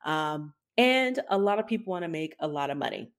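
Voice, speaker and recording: A woman's voice: sits low, lively intonation, fairly quick. A woman co-hosting a podcast, talking casually and sardonically with emphatic rhetorical questions. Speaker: podcast co-host. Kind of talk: casual opinion talk.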